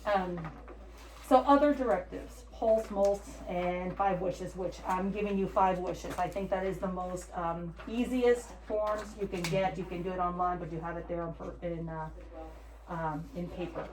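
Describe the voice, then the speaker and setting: A woman's voice: on the low side, moderate pace, a little mellow, a little hiss or hum. A woman giving an informal presentation, talking in a conversational, rambling way. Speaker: hospice care representative giving a presentation. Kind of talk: informal presentation with group discussion. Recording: echoey room.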